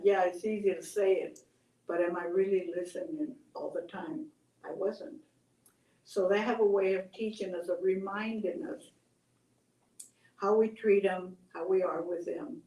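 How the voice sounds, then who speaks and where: An older woman telling stories and reflecting on them in a gentle, halting, conversational way. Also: medium pitch. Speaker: storyteller, host. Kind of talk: oral storytelling and reflective chat. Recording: echoey room, distant mic.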